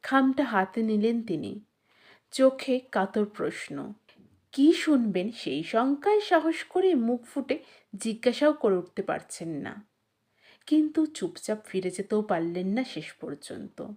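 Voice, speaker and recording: A woman with a fairly high voice reads a literary story aloud as an audiobook narrator. Her pace is very slow and measured, with an expressive storyteller's delivery.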